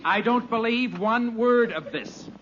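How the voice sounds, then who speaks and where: High-voiced, stern, impatient gentleman. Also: unhurried. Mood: indignant. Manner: brusque, clipped, threatening. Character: stern, impatient gentleman demanding his property. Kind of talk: 1940s-50s radio comedy acting. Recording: muffled, very noisy old radio recording.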